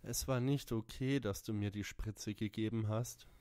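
A man's voice: lowish voice, fairly even intonation, normal speed, a little mellow, smooth, dry recording, natural voice. A man playing an aggrieved, whining psychiatric patient who repeats himself plaintively in a comic audio play. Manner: plaintive, repetitive.

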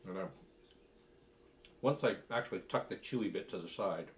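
A middle-aged man with a fairly low voice talks casually through a food tasting. He is halting and thoughtful, often pausing between bites as he works out what to say. It sounds like a home cook hosting at his own kitchen table. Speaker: cooking video host.

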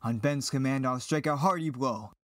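A man's voice: mid-range voice, normal speed, no echo, clean studio sound, lightly acted. A man playing a brave, earnest young rebel pilot, declaiming Shakespearean verse in an audio drama. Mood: resolved. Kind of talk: dramatic reading in Elizabethan verse.

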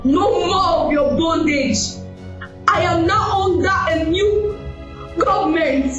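An actress playing an earnest young woman who speaks to a prince and a dark ruler in an amateur audio drama. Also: very high voice, slow pace, highs cut off, faint music behind, heavily acted. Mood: defiant. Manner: earnest, pleading. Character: frightened young woman who grows defiant. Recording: very echoey room.

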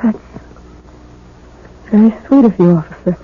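An actress playing an anxious, fragile young wife who doubts her sanity, halting and hesitant, in a 1970s radio drama. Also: mid-range voice, lively intonation, a little hiss or hum, close to an everyday voice. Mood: distraught. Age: young adult. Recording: muffled old 1970s radio broadcast.

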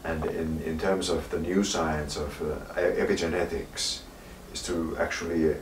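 Male interviewer, very low voice, thoughtful, off-mic with room echo and faint noise. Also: level tone, moderate pace. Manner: thoughtful, hesitant phrasing. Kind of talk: asking questions. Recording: roomy, distant off-camera mic.

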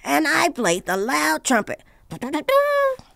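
An eager cartoon shark student, voiced by a woman, gravelly and fried at the edges, pitched fairly high and swinging wildly up and down. It asks curious questions and joins in with playful noises, like a character from a kids' science show. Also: wildly swooping pitch, unhurried, a bit bright, no echo, clean studio sound, heavily acted. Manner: eager, playful, makes sound effects. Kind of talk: educational cartoon voice acting.

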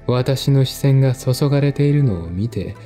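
Male audiobook narrator for mystery: medium pitch, dark tone, deliberate dramatic reading. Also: lively intonation. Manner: measured, deliberate pauses. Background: faint music.

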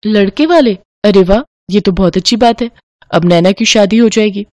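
A woman plays a young cartoon daughter-in-law, sweet-talking and scheming. The delivery is theatrical and expressive at a middle pitch, recorded dry. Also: normal speed, no echo, close to an everyday voice. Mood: sugary, helpful.

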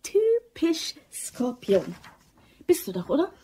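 A breezy, cheeky female office colleague in a radio crime play. She is self-assured and teasing, with a fairly high, mellow voice and very animated intonation. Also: slow pace, full character voice. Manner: playful, teasing. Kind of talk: German radio crime drama acting.

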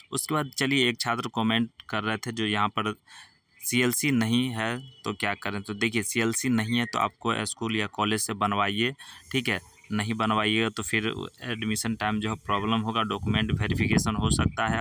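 A man's voice: fairly low pitch, bright, forceful tone, clear, resonant tone, no echo. A man hosting an education channel, walking students through an official notice and filling his speech with "theek hai.". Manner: repeats "ठीक है" and "जो है" as fillers, patient instructive tone. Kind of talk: screen-recorded explainer for students.

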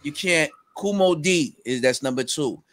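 A middle-aged man with a fairly high-registered voice, talking animatedly on a video call as a guest, full of fillers and quick bursts. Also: no echo. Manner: animated, recites rap lyrics with bursts of energy, many fillers. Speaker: rapper guest. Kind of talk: casual video-call interview. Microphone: headset on a video call.

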